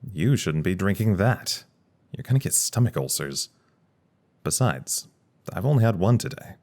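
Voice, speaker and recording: An actor playing a wry, discouraged office worker with a dry, hesitant, sardonic way of talking in an audio drama. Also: male voice, fairly low pitch, very animated, normal speed, darkish tone. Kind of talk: naturalistic audio drama dialogue.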